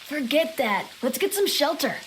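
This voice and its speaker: A woman's voice: high-pitched, sing-song, moderate pace, a little hiss or hum. A woman playing an earnest, boisterous, good-hearted young Japanese boy in an anime audio drama, blunt and eager. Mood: bossy, friendly.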